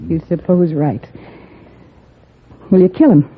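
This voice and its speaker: A woman's voice: fairly low pitch, slow pace, husky. An actress playing a cynical, hard-bitten saloon girl in a 1950s radio Western, blunt and sardonic. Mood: bitter, intent. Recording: muffled, thin 1950s radio broadcast.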